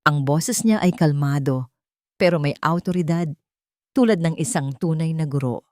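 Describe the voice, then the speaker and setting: A woman's voice: sits low, moderate pace, a little mellow, no echo, clean studio sound. A woman reading a scripted romance story as a dramatic narrator.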